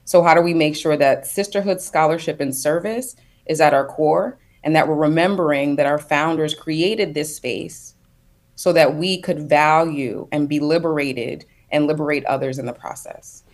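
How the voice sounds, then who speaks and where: A woman's voice: low-pitched, normal speed. A woman speaking as a panelist on a video call, thoughtful and reflective, building her points in loose phrases. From United States. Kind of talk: panel discussion.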